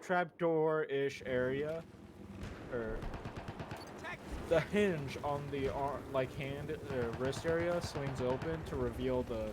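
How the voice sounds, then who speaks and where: Man, slow halting story recap, cursing and filler words, loud game gunfire behind. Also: medium pitch, background noise. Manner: halting, full of fillers, restarts and swearing. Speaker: storyteller summarizing a manga. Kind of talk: casual rambling story recap. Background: game gunfire and explosions.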